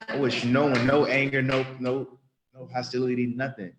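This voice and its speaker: A man's voice: mid-range voice, flat delivery, normal speed, over music. A man around thirty giving an earnest, rambling spoken testimony in church, often restarting and repeating himself. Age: about 30.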